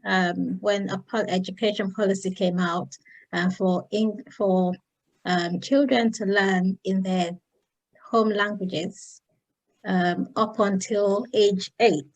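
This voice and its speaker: A woman's voice: medium pitch, fairly even intonation, takes it slowly, lo-fi sound. Thoughtful Nigerian woman hosting. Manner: thoughtful, with many fillers. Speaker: host. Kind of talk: casual panel discussion.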